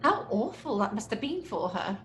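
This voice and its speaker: A woman's voice: mid-range voice, very animated, moderate pace, a bit bright, soft background music. A woman playing a soothing, fussy theatre actress in an audio horror drama, theatrical and placating. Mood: uneasy, sympathetic.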